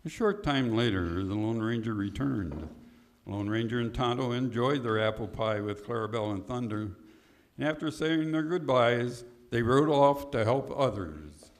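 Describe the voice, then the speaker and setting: A man's voice: mid-range voice, moderate pace. A man announcing a radio play, reading scripted narration and credits. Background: loud music.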